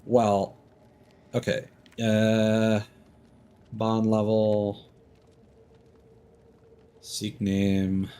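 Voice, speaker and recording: A man's voice: fairly low pitch, level tone, very slow, pauses often, warm tone, clear, resonant tone. A young man streaming a game, casually reading menu items aloud to himself.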